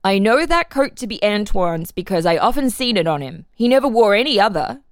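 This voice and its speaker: A woman's voice: mid-range voice, sing-song, a little fast, dry recording, studio quality, natural voice. A woman voicing an earnest ten-year-old farm boy giving simple, plain-spoken testimony in a dramatic reading. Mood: earnest, insistent. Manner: simple, plain-spoken, answering questions literally.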